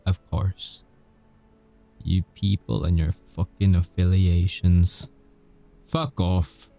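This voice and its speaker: A man playing a sardonic, volatile supernatural prisoner in an audio roleplay, mocking and bitter, swearing and taunting with dark chuckles. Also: low-pitched. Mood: bitter, hostile. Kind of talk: audio roleplay ASMR acting.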